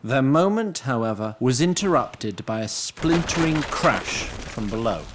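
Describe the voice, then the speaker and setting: A man's voice: mid-range voice, sing-song, measured pace. Male fantasy story narrator over background noise. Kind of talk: scripted dramatic story narration.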